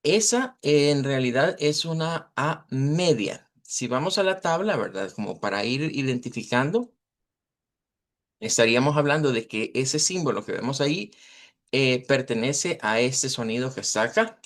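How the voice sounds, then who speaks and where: A male teacher with a Latin American Spanish accent and a mid-pitched voice. He explains pronunciation in an informal, didactic way. His delivery is deliberate, with small check-in questions along the way. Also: animated. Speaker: language teacher. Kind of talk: informal online language lesson, explaining pronunciation.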